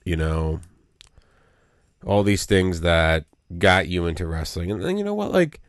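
A man's voice: low-pitched, lively intonation, measured pace, dry recording. A man co-hosting a casual podcast in a small studio, sounding a little nasal and rambling with lots of fillers. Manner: rambling, full of you know and um. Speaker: podcast co-host.

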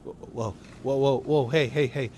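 An actor playing a laid-back, awkward young dude in a comedic audio drama, goofy and hesitant, repeating himself. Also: male voice, mid-range voice, fairly even intonation, moderate pace, darkish tone, a little hiss or hum, lightly acted.

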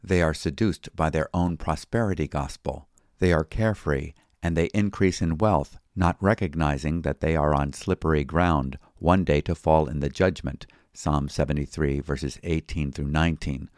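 A man reading a scripted devotional commentary as a pastor, in a measured, formal way. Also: rumbling low, darkish tone, no echo, clean studio sound. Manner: measured, formal, citing chapter and verse.

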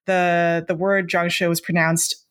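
A woman's voice: on the low side, flat delivery, measured pace, fairly bright tone. A young woman from Hong Kong whose first language is Cantonese, talking casually about her work as a game designer, with lots of fillers and restarts. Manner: chatty, many fillers and restarts. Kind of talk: casual interview conversation.

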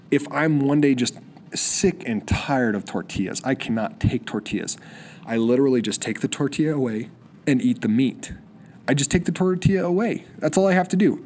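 A man's voice: medium pitch, a little mellow, slight background noise. A young man hosting a solo podcast, sniffly with a cold, rambling eagerly with many restarts. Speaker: podcast host. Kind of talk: solo casual podcast monologue.

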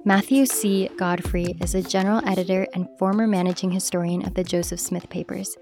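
A woman's voice: on the low side, fairly even intonation, fairly quick, over music. A woman hosting a podcast, reading scripted narration. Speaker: podcast host.

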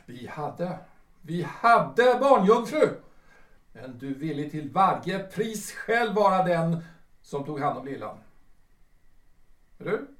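An actor playing a bitter, wounded retired civil servant in his sixties in a period radio drama, speaking formally and haltingly. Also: on the high side, big pitch swings, fairly bright tone. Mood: accusing. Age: older. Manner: formal, archaic, halting phrasing. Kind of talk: period stage-play radio drama acting. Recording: roomy, distant from the microphone.

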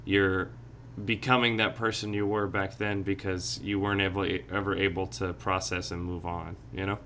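A man with a lowish voice and a bright, crisp edge to it. He talks in a soft-spoken, reflective way, circling around his thoughts in relaxed podcast conversation. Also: moderate pace, slight background noise. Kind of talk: casual podcast chat.

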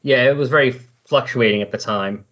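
A man with a husky voice chatting casually on a podcast, explaining and recapping in a rambling way. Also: mid-range voice, fairly quick. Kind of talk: casual comic book discussion. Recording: dull lo-fi voice recording.

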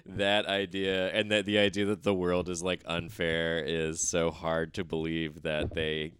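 A man's voice: sits low, level tone, unhurried, fairly bright tone, smooth. A man in his thirties co-hosting a comedy podcast, making wry, dry quips and laughing often in casual chat from a comedy club green room. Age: 30s.

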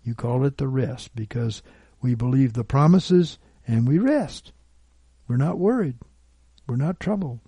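A man's voice: mid-range voice, lively intonation, unhurried, warm tone, husky, no echo. A man preaching and praying informally as a Bible teacher, earnest and plain-spoken. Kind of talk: informal sermon and prayer.

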